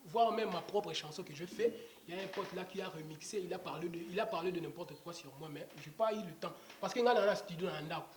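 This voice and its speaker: Young rapper in an echoey room. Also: male voice, high voice, fast, few pauses. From Democratic Republic of the Congo. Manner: rambling, many restarts and fillers. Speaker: rapper guest. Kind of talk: casual interview. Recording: echoey room with clear background noise.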